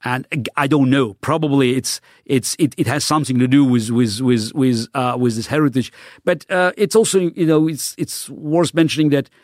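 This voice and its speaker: A man's voice: medium pitch, steady tone, moderate pace, darkish tone, no room sound, clean studio sound. A middle-aged man, answering interview questions earnestly, often restarting and repeating words as he searches for phrasing. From Soviet Union (Baku). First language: Russian. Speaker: interview guest.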